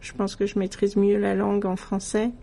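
A middle-aged woman from France, a radio guest talking in a relaxed, thoughtful way with many hesitations and restarts. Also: medium pitch, normal speed, gravelly. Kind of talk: casual conversational interview.

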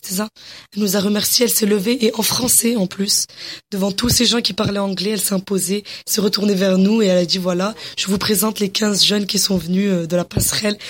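A young woman from Morocco with a husky, breathy voice. She talks with eager enthusiasm, full of hesitations and restarts. Her pitch sits at an ordinary middle height. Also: fairly even intonation, fast talker. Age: young adult. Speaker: interviewee, young entrepreneur. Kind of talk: casual interview answers.

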